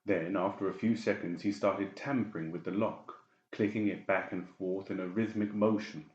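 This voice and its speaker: Very deep-voiced male audiobook narrator. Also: fairly even intonation, moderate pace, a little mellow. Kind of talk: scripted dramatic story narration. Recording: roomy, slightly dull sound.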